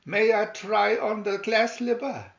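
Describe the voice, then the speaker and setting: Storybook heroine performed by a man: gentle young woman, earnest and imploring, lifted pitch, roomy sound. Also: steady tone, a little slow, gravelly, dull audio. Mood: polite. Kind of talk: fairy tale storybook reading.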